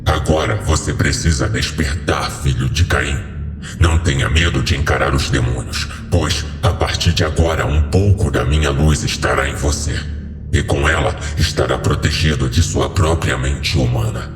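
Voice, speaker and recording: Abyssal, gravelly ancient demon lord. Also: male voice, extremely low pitch, fairly even intonation, moderate pace, voice pushed down. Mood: commanding. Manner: solemn, stately, portentous delivery. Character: ancient male fallen being, solemn, commanding, cryptic demon lord. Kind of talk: horror audio story character dialogue. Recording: heavily reverberant voice over music.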